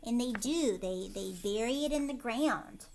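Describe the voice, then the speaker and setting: A woman's voice: fairly high pitch, lively intonation, measured pace, vocal fry, a little mellow, slight background noise. A woman teacher reading a picture book aloud to children, expressively, with chatty commentary and questions along the way. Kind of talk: storybook read-aloud with casual commentary for children.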